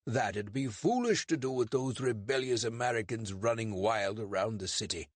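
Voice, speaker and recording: A man's voice: fairly low pitch, expressive, normal speed, dry recording, pitched above the performer's own voice. A man voicing an American operative faking a British accent, evasive and cheeky.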